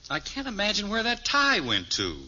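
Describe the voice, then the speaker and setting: A man's voice: high-pitched, wildly swooping pitch, normal speed, bright, forceful tone, full character voice. A man playing an amiable, slightly flustered middle-aged father in a 1950s radio sitcom. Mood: amiable, fussing. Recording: muffled, hissy old radio recording.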